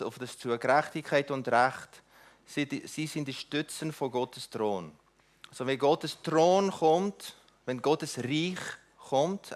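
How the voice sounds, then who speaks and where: Earnest non-native man preaching haltingly. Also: mid-range voice. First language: not German. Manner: halting, many restarts and fillers; earnest, inviting. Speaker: mission speaker. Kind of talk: church talk.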